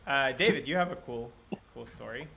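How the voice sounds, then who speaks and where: Young male host, bright tense tone, reads fan questions, laughs a lot. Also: mid-range voice, brisk, vocal fry. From Russia and Israel. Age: 20s. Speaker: band member moderating a live Q&A. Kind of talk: casual livestream chat.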